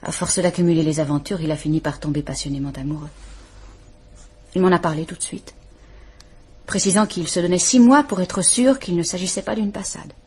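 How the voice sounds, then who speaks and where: Scared but dignified wife in her thirties, low husky voice, formal and restrained, old radio play. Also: brisk, a little mellow. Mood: restrained, bitter. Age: 30s. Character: frightened, dignified woman of about thirty. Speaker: woman reporting her husband. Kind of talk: vintage radio drama acting.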